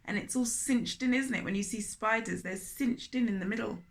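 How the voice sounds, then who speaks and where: A woman reading a children's story aloud in an expressive manner, often turning to question young listeners directly. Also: a little high, normal speed, gravelly. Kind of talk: animated children's read-aloud with asides to listeners.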